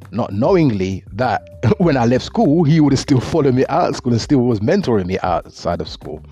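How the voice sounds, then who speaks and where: Middle-aged man, earnest rambling monologue, mellow voice, soft music behind. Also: mid-range voice, slightly rough. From Jamaica. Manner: earnest, repeats words, loose grammar. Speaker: motivational speaker. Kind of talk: reflective monologue. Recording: dull lo-fi audio with faint music.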